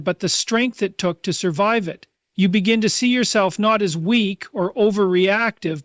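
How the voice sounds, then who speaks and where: A man delivering a scripted motivational speech, speaking earnestly and in measured phrases straight to the listener. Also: high voice, no echo.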